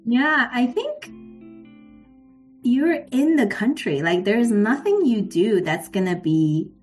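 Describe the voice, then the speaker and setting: A woman guest on a podcast, speaking in a relaxed, affirming way and laughing easily. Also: fairly high pitch, takes it slowly, faint music behind. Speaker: guest, former Fulbright researcher. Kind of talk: casual conversational interview.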